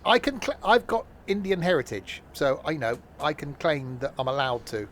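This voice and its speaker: A man's voice: on the high side, big pitch swings, normal speed, fairly bright tone, a little hiss or hum. A man streaming a train game, chatting casually and joking with live viewers, rambling with frequent self-corrections. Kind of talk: casual gameplay commentary.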